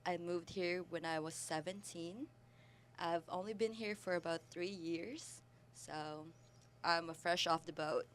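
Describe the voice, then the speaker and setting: A woman's voice: on the low side, a little slow. A woman, chatting casually as a student interview guest. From Philippines. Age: early 20s. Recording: background noise with hum.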